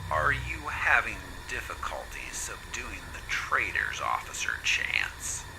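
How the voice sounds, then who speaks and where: A man's voice: on the low side, wildly swooping pitch, takes it slowly, creaky, in a whisper, full character voice. A man playing a cold commanding officer in an audio drama, speaking curtly and formally through a noisy radio filter. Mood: cold, demanding. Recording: very noisy, crackling radio transmission.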